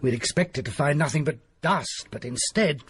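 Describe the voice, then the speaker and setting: An actor playing a secretive country doctor who tells a grim tale in a grave, hushed manner. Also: male voice, a little high, moderate pace, breathy edge. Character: desperate, secretive middle-aged male country doctor. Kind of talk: period radio drama acting.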